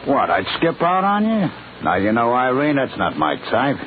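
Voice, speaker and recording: A man acting a smooth-talking gangster in a 1940s-style radio mystery, falsely sweet and threatening. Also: medium pitch, big pitch swings, normal speed, close to an everyday voice. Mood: falsely casual. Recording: tinny, noisy old-time radio recording.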